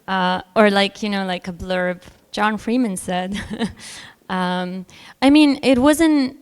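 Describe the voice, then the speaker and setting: Smooth-voiced woman in an echoing hall. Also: medium pitch, slow pace. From Bosnia. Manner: thoughtful, frequent ums, laughs between points. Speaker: panel guest, novelist. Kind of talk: panel discussion answer. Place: festival hall with long reverb. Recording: hall reverb, live panel.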